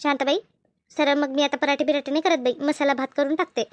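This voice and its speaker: A woman's voice: sits high, fairly even intonation, quick pace, natural voice. A woman voicing a harried, fussy village housewife in a cartoon comedy, rambling and repeating herself in a hurry. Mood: planning, fretful. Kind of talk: animated cartoon comedy dialogue.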